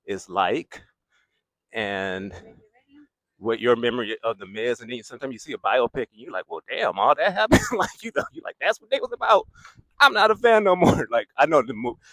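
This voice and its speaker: A man's voice: fairly low pitch, a bit bright, no echo, low-quality recording. A young man talking casually as he walks a city street, rambling and laughing often, holding a handheld mic. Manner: rambling, laughs often, loops back to his point. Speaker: vlogger walking the street. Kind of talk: casual walking vlog commentary. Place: outdoors on a city boulevard.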